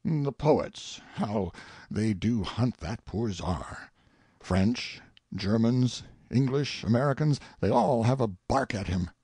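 A man's voice: mid-range voice, expressive, slow pace, warm tone, slightly rough, dry recording, heavily acted. A man voicing a haughty, self-pitying tyrant king who muses aloud with pompous sardonic scorn in an audiobook monologue. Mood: amused, sardonic. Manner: pompous, theatrical, sardonic musing.

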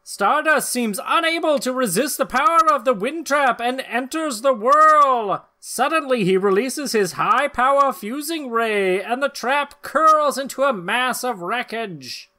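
A man's voice: extremely high pitch, animated, unhurried, hardly any pauses, bright, forceful tone. A man in his thirties hosting a podcast, giving an amused, theatrical reading of comic-book narration with casual banter. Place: small room at home, video call.

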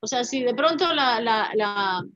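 A woman's voice: a little high, expressive, unhurried, a bit bright, no room sound. Reflective poet woman on a dull video call. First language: Spanish. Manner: thoughtful, reflective, many "¿no?" tags. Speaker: poet and writer, guest. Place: home room with paintings. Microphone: laptop webcam mic on video call.

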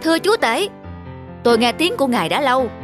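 Woman acting cartoon snake, aging, plaintive, dramatic, high voice, music behind. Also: sing-song, fast. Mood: anxious, humble. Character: aging venomous snake trying to be good, aggrieved. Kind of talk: animated fairy-tale character acting. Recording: music under the voice.

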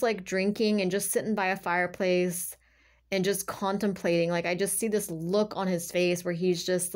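A woman speaking as a tarot reader in an informal, intuitive monologue, halting and emphatic, circling back to repeat herself and often saying "like". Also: fairly low pitch. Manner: halting, repetitive, emphatic, filler-heavy with "like".

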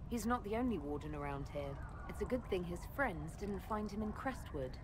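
An actress playing a composed young woman mage who leads, speaking in a calm, direct, measured way in a video game. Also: medium pitch, noisy background, lightly acted. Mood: businesslike. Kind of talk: video game dramatic acting.

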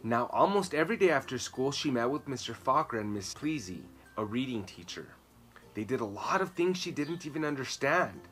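A man in his thirties reading a children's story aloud expressively, with slow, emphatic words. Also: mid-range voice, very animated, a bit bright. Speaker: children's storytime reader and host. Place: outdoor garden patio. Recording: clear background noise, outdoor birdsong. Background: birds, outdoor ambience.

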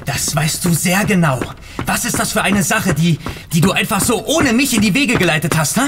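Arrogant, blustering heir, higher-pitched. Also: male voice, lively intonation, normal speed, over music. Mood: indignant, blustering. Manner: blustering, sneering. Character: arrogant, hot-tempered young heir running a bank. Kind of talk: German audio drama acting.

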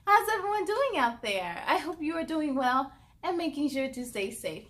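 A young American woman hosting a children's story time, reading aloud expressively with playful, animated delivery and friendly asides. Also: high-pitched, moderate pace, no echo. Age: young adult. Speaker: host of a children's read-aloud show.

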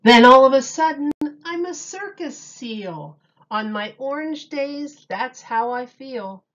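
Female storyteller for kids' picture book; unhurried, expressive, mid-pitched, slightly dull lo-fi sound. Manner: slow, deliberate, dramatic emphasis on key words. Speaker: teacher reading a picture book aloud. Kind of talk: expressive children's read-aloud.

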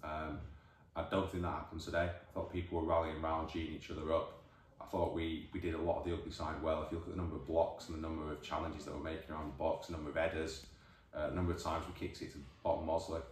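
A man in his forties with a Northern English accent, a football manager giving a measured, thoughtful post-match interview, recorded by a distant camera in an empty changing room. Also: a bass voice, level tone, a little hiss or hum. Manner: measured, thoughtful, many restarts. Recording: echoey room, voice from far off.

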